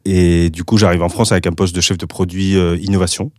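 Low-voiced Spanish man, halting speech. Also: little pitch movement, darkish tone, dry recording. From Spain. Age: 30s. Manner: hesitant, many restarts and fillers. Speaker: guest. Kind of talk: casual interview talk.

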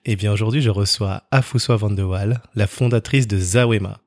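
A man's voice: on the low side, moderate pace, a little mellow, no echo. A man hosting an interview podcast, reading a scripted opening and then asking short, affirming follow-up questions. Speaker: podcast host.

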